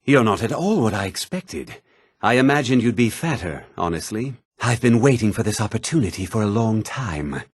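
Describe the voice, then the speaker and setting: An actor playing a pompous, self-satisfied emperor in a fantasy game, speaking in a grand, measured manner. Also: male voice, on the low side, big pitch swings, husky, no room sound, clean studio sound. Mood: pompous, pleased.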